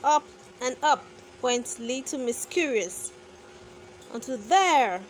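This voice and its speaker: A woman narrating a children's bedtime story with deliberate phrasing suited to very young listeners. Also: sits high, expressive, slight background noise, rough recording. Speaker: children's book reader.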